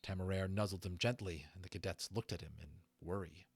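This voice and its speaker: Male storyteller for audiobook narration; lowish voice, close dry sound. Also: brisk, husky, no room sound. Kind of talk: scripted story narration with informal asides.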